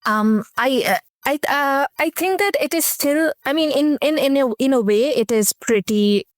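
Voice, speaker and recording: A woman's voice: high voice, expressive, normal speed, dry recording, studio quality. A woman from India with an Indian accent, a communication professor speaking as a guest in a casual interview, thoughtful and hesitant, with frequent fillers.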